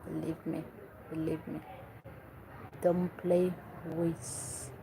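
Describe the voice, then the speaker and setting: A woman's voice: deep voice, monotone, very slow, vocal fry, noisy background. A woman from Eritrea, earnestly giving parenting advice in English and repeating herself for emphasis. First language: Tigrinya or other Eritrean language. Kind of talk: earnest talk to camera.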